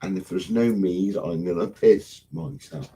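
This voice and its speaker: A low, husky man chatting on a live stream. He rambles, swears freely and keeps wandering off his point. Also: a little slow, warm tone, low-quality recording. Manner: rambling, swears often, loses his thread. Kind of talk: casual live-stream chat.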